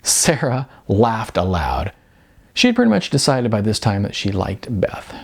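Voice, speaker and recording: A man's voice: on the low side, huge pitch swings, measured pace, gravelly, slightly rough. A man reading scripted fiction as an audiobook narrator.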